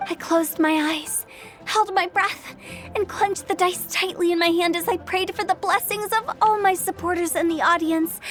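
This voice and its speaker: A woman's voice: very high-pitched, fairly bright tone. A woman voicing a playful, boastful teenage girl in a video game, telling her tale with cheeky theatrical flair. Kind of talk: video game character acting.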